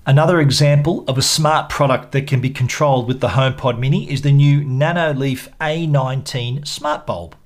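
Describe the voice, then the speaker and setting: A man presenting a product review in a measured, explanatory way. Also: mid-range voice. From Australia. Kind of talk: product review narration to camera.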